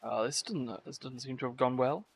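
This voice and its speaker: A man's voice: mid-range voice, moderate pace, gravelly, slightly rough. A man giving casual live gameplay commentary as a streamer, chatting informally. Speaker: game streamer. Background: video game music.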